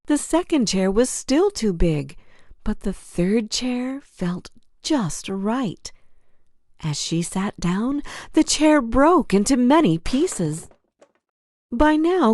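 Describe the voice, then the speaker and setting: Slow, animated woman telling fairy tales. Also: a little high, no echo, studio quality. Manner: expressive, deliberate storytelling. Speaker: storyteller. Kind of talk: scripted fairy-tale narration for children.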